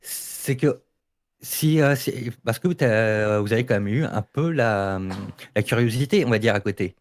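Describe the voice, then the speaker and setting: Man debating casually in a halting, repetitive way. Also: medium pitch. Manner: halting, repeats words while arguing. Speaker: audio fiction debate participant. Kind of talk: casual opinionated debate talk.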